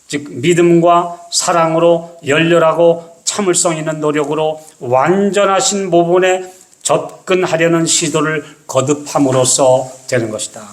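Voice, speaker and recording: A male pastor preaching a sermon, telling the story vividly and slowing down to stress key words. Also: on the high side. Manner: emphatic storytelling with dramatic slow stresses.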